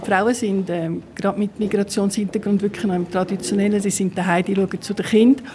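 A woman's voice: mid-range voice, fairly even intonation, a little fast, warm tone, slight background noise. A woman with a Swiss German accent, a community organizer speaking spontaneously and informally in an interview, often rephrasing herself.

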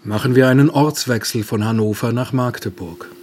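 A man with a husky, breathy voice reading a scripted arts report. He speaks in a measured, literary way, at a middle pitch. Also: darkish tone. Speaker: culture radio reporter. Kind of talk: scripted narration.

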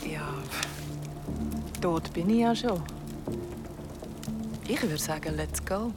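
Bold young ghost, slangy and unhurried, loud music beneath. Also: female voice, on the low side. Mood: dry, resolute. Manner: casual, slangy. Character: young female ghost, lonely but bold and fun-hungry. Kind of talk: radio drama acting.

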